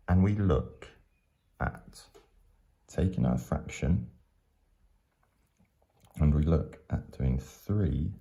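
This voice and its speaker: Male teacher, dark mellow tone, gravelly creak, patient step-by-step explaining. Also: a bass voice. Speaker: maths teacher.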